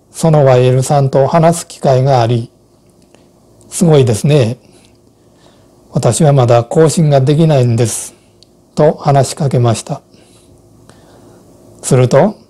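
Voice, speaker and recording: A man in his sixties giving measured, explanatory narration from a script as an instructor. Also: mid-range voice, mellow.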